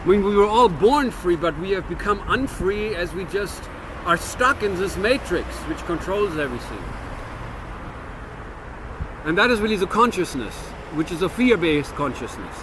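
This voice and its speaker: A man's voice: high voice, animated, moderate pace, noisy background. A man in his fifties from Germany with a German-American accent, musing earnestly to camera in a reflective monologue with frequent restarts. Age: 50s. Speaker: vlogger.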